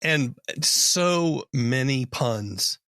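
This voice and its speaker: A man's voice: medium pitch, animated, very slow, a bit bright, faint music behind. A man interviewing a guest as a podcast host, asking questions in a casual conversational style.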